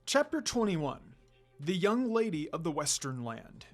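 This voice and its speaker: A man's voice: a little high, big pitch swings, unhurried. A man reading fan fiction aloud as a scripted story narration. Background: music.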